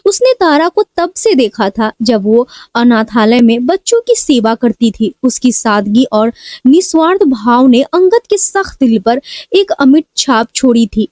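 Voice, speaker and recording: A woman tells a scripted dramatic story in a high voice. The tone is mellow and rounded rather than sharp. The sound is dry and close, with no room around it. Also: big pitch swings, measured pace, no echo.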